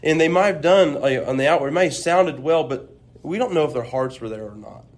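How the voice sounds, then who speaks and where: A man's voice: fairly high pitch, a little fast. Earnest man discussing scripture. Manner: earnest, thoughtful, with many restarts. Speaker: podcast co-host. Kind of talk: casual religious discussion.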